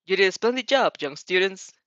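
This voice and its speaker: A woman's voice: mid-range voice, animated, fairly quick, a bit bright, no echo, lo-fi sound, natural voice. A woman voicing a commanding, encouraging adult male hero in a story reading. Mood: encouraging, firm.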